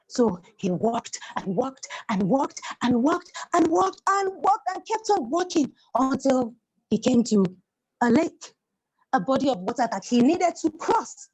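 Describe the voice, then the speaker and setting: A woman's voice: sits high, a little mellow, rough recording. A woman telling a children's story in a theatrical way, repeating words for effect and putting questions to her listeners.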